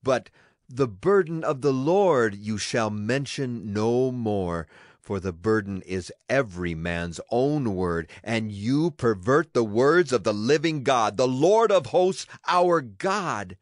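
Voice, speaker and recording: A man's voice: medium pitch, expressive, takes it slowly, dry recording, studio quality. A man gives a solemn, declamatory dramatized reading of scripture as a Bible narrator. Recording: clear music behind the voice. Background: music.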